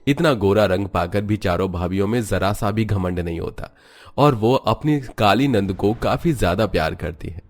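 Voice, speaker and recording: Casting: male narrator for animated family tales, lower-pitched voice, scripted storytelling.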